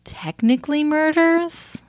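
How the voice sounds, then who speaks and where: A woman co-hosting a podcast in casual chat, talkative and quick to laugh, with blunt swearing. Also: high-pitched, dry recording. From Michigan, US. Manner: chatty, swearing, laughs often. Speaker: podcast co-host.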